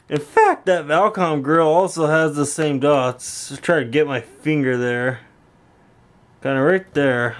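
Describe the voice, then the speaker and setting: A man with a somewhat high-pitched voice narrates a casual hobby demonstration. He rambles and tends to repeat himself. He speaks from behind a handheld camera in a room at home. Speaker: YouTube hobbyist demonstrating intercom gear. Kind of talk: casual hands-on vlog narration.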